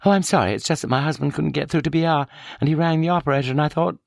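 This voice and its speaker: A man's voice: on the high side, rapid-fire, vocal fry, no echo, studio quality, pitched above the performer's own voice. A man voicing a confused, vulnerable middle-aged wife on the phone in an audiobook. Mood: flustered. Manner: confused, hesitant.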